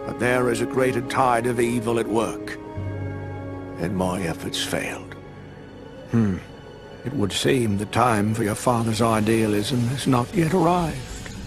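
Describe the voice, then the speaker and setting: A solemn old owl sage, played by a man, speaks in a measured, grave tone. His voice sits in the middle of the range. Game music plays clearly behind him. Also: mid-range voice, natural voice. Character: old wise male owl mentor, solemn. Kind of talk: game cutscene voice acting.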